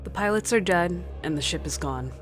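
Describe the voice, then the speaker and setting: A deep-pitched female starship captain played by a woman in a radio drama, firm and protective of the crew. The delivery is authoritative and cautious, with music underneath. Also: expressive, normal speed, close to an everyday voice. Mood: grave, resolute. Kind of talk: audio drama acting. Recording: music behind the voice.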